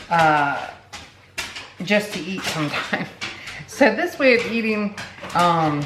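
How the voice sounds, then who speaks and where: A woman in her late forties talks casually to camera with a slightly low voice, wandering through her story with plenty of fillers and asides. She is recorded in an echoey room at home, with clear background noise under her voice. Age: 40s. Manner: chatty, rambling, many fillers and asides. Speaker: vlogger.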